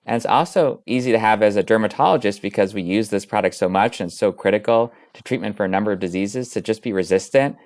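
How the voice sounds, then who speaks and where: A man with a low-pitched voice talks as an expert guest in an interview. He explains things in a measured, conversational way, building long, winding sentences. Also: a little mellow. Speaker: dermatologist and researcher, interview guest.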